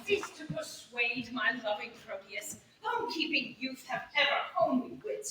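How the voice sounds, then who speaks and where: A woman playing a teasing young gentleman in a Shakespearean staged reading, declaiming in bantering verse. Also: high voice, big pitch swings, normal speed. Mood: earnest, teasing. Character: Valentine, teasing young gentleman bound for travel. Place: indoor playhouse stage, live audience. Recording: echoey theater, distant mic, noisy.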